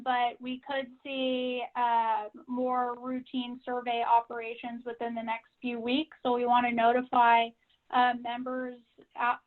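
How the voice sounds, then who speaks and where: High-pitched woman on a tinny phone line. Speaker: association staff member giving member updates. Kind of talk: informational briefing on a conference call. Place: conference call.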